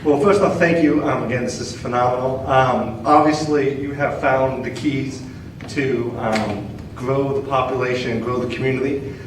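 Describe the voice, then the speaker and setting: A man's voice: medium pitch, fairly even intonation, normal speed. A man on a youth board, asking a polite, hesitant question with many fillers. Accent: foreign-sounding, not Southern. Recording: echoey hall, distant mic, background noise.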